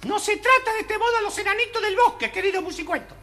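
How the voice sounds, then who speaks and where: Casting: cheeky little dwarf jester for a children's tale, piercing and very high. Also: quick pace, sharp tone. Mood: smug, amused. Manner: exclamatory, bossy, teasing. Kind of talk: children's audio story acting.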